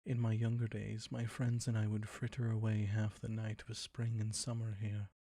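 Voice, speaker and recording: A man playing a suave, predatory ancient vampire count in an intimate roleplay audio drama, soft and breathy, courtly and condescending. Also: lowish voice, monotone, no room sound, studio quality. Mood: menacing relish. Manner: courtly, condescending, slow and savoring.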